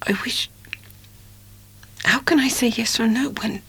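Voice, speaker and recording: A timid young country woman acted by a man in a classic audiobook drama. The voice is lifted high above his own, extremely breathy and hushed, with a humble, anxious manner. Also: unhurried, dry recording, exaggerated voice. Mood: meek, distressed.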